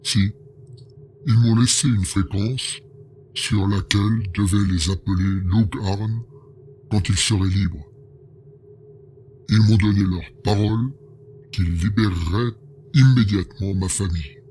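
A man's voice: on the low side, a little slow, pauses often, warm tone, slight background noise, close to an everyday voice. A man voicing an anxious male bear-like alien advisor who talks in a hesitant, pleading way. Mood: worried. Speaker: voice actor in an audio drama.

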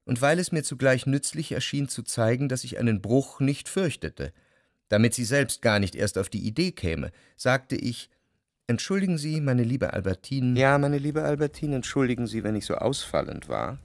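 An actor playing a brooding, jealous gentleman narrator in a literary radio drama, speaking in a measured, formal, reflective way. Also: medium pitch, big pitch swings, dry recording, clean studio sound. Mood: tense, recounting, then controlled, accusing.

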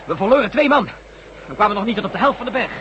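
Hurried subordinate trooper, quick and high-pitched, radio drama, dull noisy outdoor scene. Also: male voice, expressive. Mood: urgent reporting. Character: agitated male soldier reporting back to his chief. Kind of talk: Dutch science-fiction radio drama acting. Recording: muffled recording with loud outdoor noise effects.

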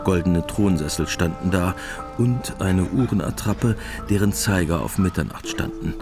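A man narrating a scripted radio drama in a deadpan, matter-of-fact way. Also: low voice, normal speed, music in the background.

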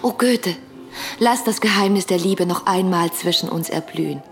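An actress playing an ardent, yearning romantic woman in a literary radio drama, with fervent, lyrical delivery that can turn hushed. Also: lowish voice, moderate pace, faint music behind, natural voice. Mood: tender, adoring.

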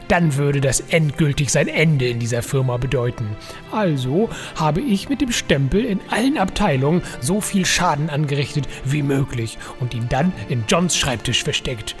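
A man's voice: fairly high pitch, lightly acted. Man acting a fussy, lovesick office schemer. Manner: officious, pleading, melodramatic. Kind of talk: comic audio drama acting.

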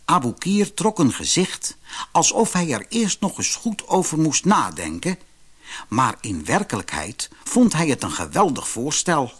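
A man with a Netherlands Dutch accent reads a scripted fairy tale as an expressive audiobook narrator. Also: on the high side, measured pace, slightly rough. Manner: expressive storytelling.

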